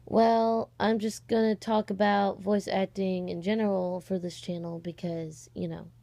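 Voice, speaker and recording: Creaky-voiced teenage girl rambling casually. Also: mid-range voice, little pitch movement, a little slow, dark tone. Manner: informal, hesitant and rambling, frequent "like" asides. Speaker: amateur voice-acting channel creator. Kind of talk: casual rambling vlog announcement. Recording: cheap, slightly distorted microphone.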